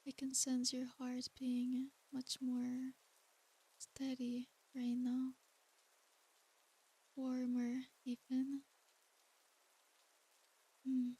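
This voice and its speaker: A woman playing an ancient, tender and seductive succubus demon in a soft ASMR bedtime roleplay. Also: sits high, monotone, full character voice, low-quality recording. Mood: tender, teasing. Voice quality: soft, breathy. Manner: slow, intimate, soothing bedtime delivery.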